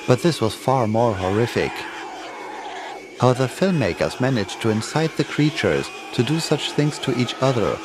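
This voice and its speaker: A man narrates a horror tale in a fairly low voice. His scripted reading sits over clearly audible noise and ambience.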